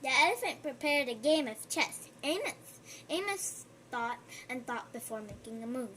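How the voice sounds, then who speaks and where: A woman reading a children's picture book aloud, gentle and unhurried, stopping for friendly asides to her listener. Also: sits high, animated, a little hiss or hum. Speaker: storybook reader.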